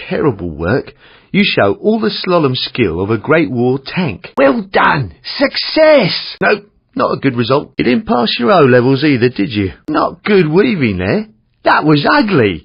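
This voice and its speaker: A gravelly old Cockney cab driver, wildly swooping in pitch as he coaches with cheeky slang. He is blunt and theatrical, a game instructor on slightly dull audio. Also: exaggerated voice. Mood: scornful, disappointed, then pleased. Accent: London Cockney. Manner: colloquial slang, wry, theatrical. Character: chatty old London cabbie driving instructor, cheeky and blunt. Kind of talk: scripted game instruction and feedback.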